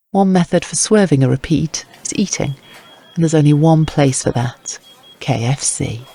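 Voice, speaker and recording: Creaky-voiced male audiobook narrator. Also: fairly high pitch, warm tone. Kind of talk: scripted dramatic narration.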